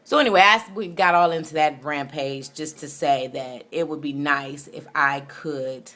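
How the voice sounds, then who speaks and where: A woman in her late thirties with a low-pitched voice, speaking as a video blogger. She rambles in a candid, conversational way, reflecting on herself as she goes. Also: normal speed, a bit bright. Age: late 30s. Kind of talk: casual personal vlog, rambling chat.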